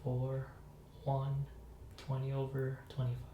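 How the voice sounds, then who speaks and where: A man playing the patient in an ASMR medical roleplay, giving brief, cooperative answers and reading out slowly. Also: medium pitch, completely flat delivery, dark tone, lo-fi sound. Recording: echoey room with faint noise.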